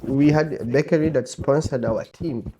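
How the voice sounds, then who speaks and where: A man's voice: medium pitch, moderate pace, crowd noise. A man from Uganda with a Ugandan accent, telling his own story conversationally as an interview guest and repeating phrases for emphasis. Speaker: interview guest, table tennis player and coach.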